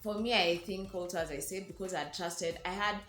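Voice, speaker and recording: A woman's voice: on the low side, fairly quick, fairly bright tone. A young woman with a Kenyan accent telling a personal story in a casual vlog, earnest and chatty. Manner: chatty, earnest, slips into quoted voices. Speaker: vlogger. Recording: roomy echo with faint music.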